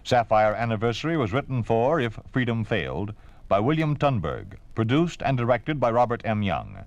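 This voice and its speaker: A man's voice: medium pitch, big pitch swings, moderate pace, no echo, highs cut off. A man reading scripted narration as a vintage radio announcer, formal and emphatic. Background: music.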